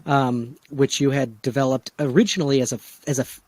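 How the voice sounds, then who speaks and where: A man's voice: mid-range voice, fairly even intonation, a little fast, a little mellow, no room sound. An American man chatting casually as a podcast co-host, with an emphatic, wry way of talking. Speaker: podcast co-host and audio editor. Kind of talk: casual chat.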